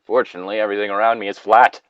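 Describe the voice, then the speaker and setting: A man with a very low voice reads and chats casually as a co-host, dropping joking asides. The sound is close and dry, with a slightly dull, lo-fi edge. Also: flat delivery, rapid-fire, fairly bright tone, dry recording. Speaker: co-host of a story reading show. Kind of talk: casual reading and banter.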